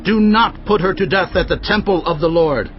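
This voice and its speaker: A man's voice: high voice, normal speed, muffled recording, slight background noise, close to an everyday voice. A man playing a stern commanding priest. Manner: authoritative, giving orders. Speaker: voice actor. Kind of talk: dramatized audio Bible acting.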